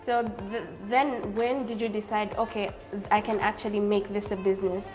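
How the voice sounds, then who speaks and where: A woman from Zambia hosting an interview, asking friendly questions with soft fillers. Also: mid-range voice, level tone, normal speed, faint music behind. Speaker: interview host.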